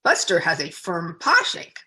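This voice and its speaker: A woman's voice: lowish voice, wildly swooping pitch, unhurried, sharp tone, voice pushed down, exaggerated voice. A woman voicing an earnest, literal-minded little girl in a children's storybook, innocent and matter-of-fact. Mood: friendly.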